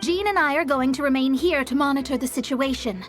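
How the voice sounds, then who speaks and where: An actress playing a poised, self-assured young astrologer in a video game, with a slightly theatrical manner. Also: female voice, high-pitched, brisk, soft background music, close to an everyday voice. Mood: confident. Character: confident young female astrologer, poised and grand.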